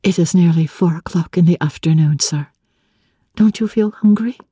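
A woman voicing a devoted, earnest young woman in love, softly and tenderly, in a dramatic reading of classic literature. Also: fairly low pitch, normal speed, dry recording, clean studio sound, pitched above the performer's own voice. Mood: gentle, practical. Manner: soft, breathy, tender and respectful.

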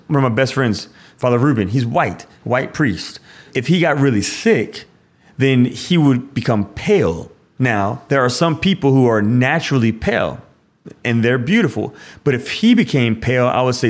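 A man of middle pitch, a priest, explains scripture in a loose, conversational way. He restarts his sentences often and drops in casual "like" asides as he builds his point. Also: expressive, normal speed.

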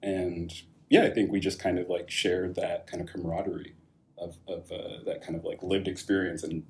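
Man, young adult, deep voice, casual interview guest, thoughtful, many fillers. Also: level tone, moderate pace, a little hiss or hum. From California, USA. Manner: thoughtful, many fillers and restarts, laughs lightly. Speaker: guest, wine company founder.

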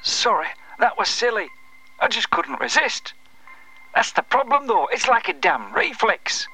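A man's voice: very high voice, very animated, measured pace, creaky, pushed and bright, slight background noise, heavily acted, poor audio. A man playing a desperate, apologetic undertaker who cannot stop joking, rambling and interrupting himself in an audio drama phone message. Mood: sheepish, jokey.

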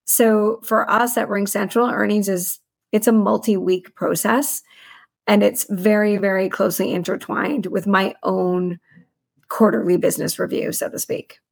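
Creaky-voiced woman explaining business in an interview. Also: mid-range voice, fairly even intonation, a little slow. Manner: articulate, detailed explaining with business jargon. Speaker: chief financial officer, podcast guest.

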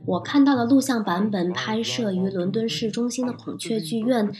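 A woman's voice: on the high side, normal speed, crowd noise. A woman hosting an audio log, reading a scripted review as narration. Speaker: podcast host, reviewer. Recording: clear music behind the voice.

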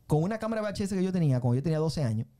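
A middle-aged man with a Dominican accent, a filmmaker and musician telling his story as a guest, animated and often checking that he is understood. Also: fairly high pitch, racing. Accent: Dominican Spanish. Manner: animated, tag questions, repeats himself. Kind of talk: casual interview storytelling.

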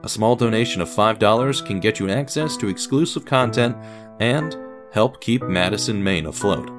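Low-voiced theatrical man narrating radio drama. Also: moderate pace. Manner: theatrical, wry, confiding storyteller. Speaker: narrator and host of an audio drama. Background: guitar music.

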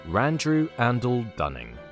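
An actor playing a wry, grandiose narrator, delivering scripted mock-documentary storytelling in a dramatic, mock-serious way. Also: male voice, medium pitch, huge pitch swings, a little slow. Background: music.

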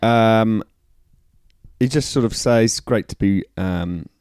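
Podcast co-host, male, New Zealand accent, lowish voice, dry close sound, deadpan teasing. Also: slow, clear, resonant tone, no room sound. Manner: dry, teasing, short replies. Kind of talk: casual rugby podcast chat.

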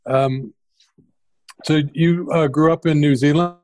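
A man's voice: on the high side, fairly even intonation, slow, darkish tone, no room sound, low-quality recording. A man hosting a podcast interview, asking questions hesitantly with fillers and restarts. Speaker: podcast host.

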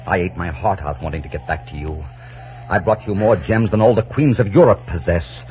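An actor playing a bitter, jealous, proud privateer captain in intense, brooding 1940s radio drama style. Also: male voice, low-pitched, brisk, darkish tone, soft background music, natural voice. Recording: noisy, muffled 1940s radio recording with hum.

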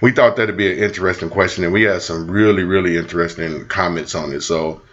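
A man's voice: low voice, fast, muffled recording. A man in his forties co-hosting a relationship podcast, chatting casually and joking around. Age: 40s. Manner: relaxed, joking, sings snatches of songs. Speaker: podcast co-host. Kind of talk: casual chat.

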